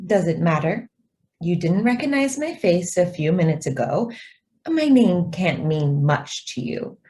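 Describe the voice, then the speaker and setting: A woman acts out a young female stenographer in a radio drama. Her voice sits a little low and mellow. She is deferential and measured, yet evasive and quietly persistent. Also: very animated. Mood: coy, evasive. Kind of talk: stage-style radio drama acting.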